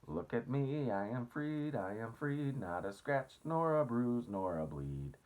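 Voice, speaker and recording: A man voicing a boastful, excitable centipede in a storybook read-aloud, loud and crowing. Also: mid-range voice, a little slow, voice pushed up, natural voice. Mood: jubilant, singing. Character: excitable boastful male centipede, singing and shouting gleefully.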